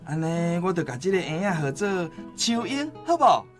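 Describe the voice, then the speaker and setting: A man's voice: high voice, very animated, moderate pace. An actor playing an easygoing, soothing father of many daughters in a Taiwanese Hokkien radio drama. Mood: tender, reassuring. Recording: music behind.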